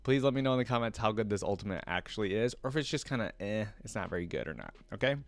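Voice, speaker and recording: A man hosting a reaction video, talking casually and reacting excitedly as he thinks aloud. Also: on the low side, racing, dry recording.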